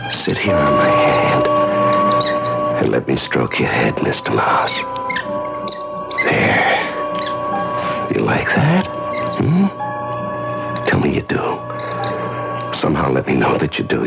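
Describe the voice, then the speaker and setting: A lonely, tender prisoner in an old radio play, low and gravelly, with wildly swinging pitch. He murmurs haltingly to a pet mouse, over loud music on a dull old recording. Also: big pitch swings, exaggerated voice. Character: lonely man held in a dark cell, tender with a mouse. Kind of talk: old radio drama acting.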